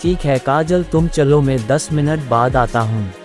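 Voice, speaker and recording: A man's voice: mid-range voice, unhurried, lightly acted. Young newlywed son, easygoing cartoon role, male actor, middle register over light music. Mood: agreeable. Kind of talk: Hindi horror cartoon voice acting.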